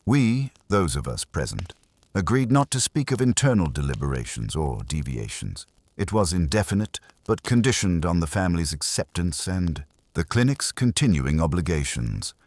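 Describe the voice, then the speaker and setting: A man's voice: low voice, sing-song, moderate pace, darkish tone, no echo. A man voicing a careful, guilt-burdened doctor in a mystery audiobook, formal and resigned in manner. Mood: reluctant confession.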